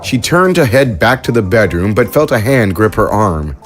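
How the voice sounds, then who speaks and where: A narrator reading an audio drama story in a measured, even way. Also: medium pitch, soft background music. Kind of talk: scripted narration.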